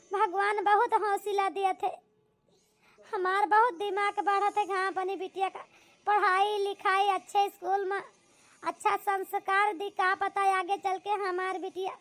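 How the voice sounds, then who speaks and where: Woman acting a high-voiced Awadhi village mother. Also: a bit bright, full character voice. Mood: wistful. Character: doting, hard-working widowed village mother. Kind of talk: homemade cartoon comedy drama dialogue.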